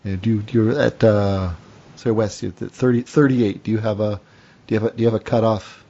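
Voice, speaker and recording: A Canadian man chats casually as a podcast host, stumbling through restarts and fillers. His voice is husky. The sound is dull and lo-fi. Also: lowish voice, steady tone, mellow. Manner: halting, many restarts and fillers. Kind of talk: casual chat.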